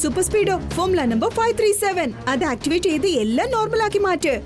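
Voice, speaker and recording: A bold, clever boy hero with a very high, lively voice, barking quick orders. Cartoon music runs clearly underneath. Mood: commanding. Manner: commanding, gives quick orders. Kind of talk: dubbed cartoon acting. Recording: studio dub over cartoon music.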